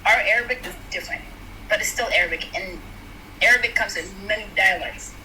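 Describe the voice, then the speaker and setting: Brief: young woman from South Sudan, bright and forceful voice, chatty debate style, faint hiss behind. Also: mid-range voice, a little fast, poor audio. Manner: animated, talks through points with many "like" and "right?". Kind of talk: casual chat, debate.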